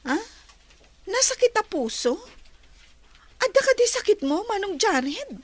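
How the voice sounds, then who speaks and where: A woman's voice: very high voice, sing-song, a little slow, dry recording, exaggerated voice. A woman with an Ilocano Filipino accent playing a friendly, curious young nurse in a radio serial, chatty and teasing. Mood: concerned. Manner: chatty, inquisitive. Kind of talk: radio serial drama acting.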